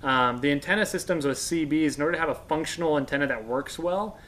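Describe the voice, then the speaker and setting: Male expert guest, 30s, American, slightly high voice, relaxed informal explainer. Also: fast talker. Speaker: guest radio expert and instructor. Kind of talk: casual explanation. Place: indoors on a couch in a workshop room.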